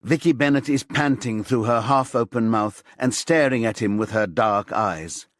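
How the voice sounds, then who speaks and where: A man reading scripted thriller narration as an audiobook narrator, with measured, suspenseful storytelling. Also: medium pitch, dry recording.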